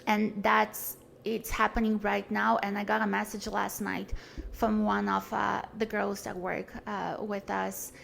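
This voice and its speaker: A woman's voice: medium pitch, level tone, a little slow, gravelly, a bit bright, a little hiss or hum. A woman, talking earnestly and frankly as a podcast guest, with many fillers and restarts. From Brazil. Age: 40s. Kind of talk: casual conversation.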